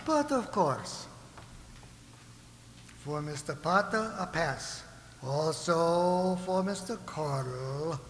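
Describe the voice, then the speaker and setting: In a roomy, hissy hall, a man acts out an oily vegetable despot from a radio play. He is high-voiced, pompous and lecherous, savoring each menacing courtesy. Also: expressive, takes it slowly, pauses often, fairly bright tone. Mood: triumphant. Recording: roomy echoing hall with background hiss.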